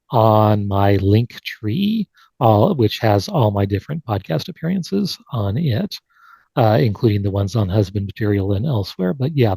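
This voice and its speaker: A man's voice: mid-range voice, vocal fry, warm tone, no room sound. A man hosting a live online panel in a conversational way, speaking over a video call. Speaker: podcast host.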